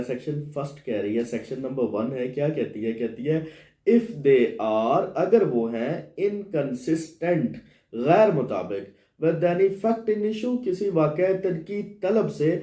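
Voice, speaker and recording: A Pakistani man gives a lecture in a mellow, slightly high voice. He reads out clauses, translates and explains them, often posing a question and answering it himself. The room sounds clearly echoey. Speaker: law lecturer. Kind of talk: lecture reading English clauses and translating into Urdu.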